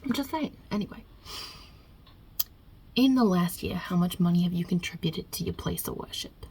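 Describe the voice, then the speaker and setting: A woman's voice: fairly low pitch, moderate pace, gravelly, a little mellow, slight background noise. A woman playing a non-binary Italian mob boss God with a put-on New York Italian-American accent, wry and casual with muttered asides, in an ASMR roleplay. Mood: dry, teasing.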